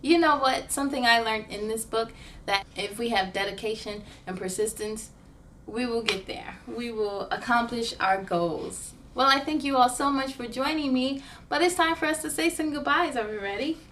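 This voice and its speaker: A woman's voice: fairly high pitch, moderate pace, noisy background. A woman hosting a read-aloud for young children, telling picture-book stories expressively and speaking straight to her listeners.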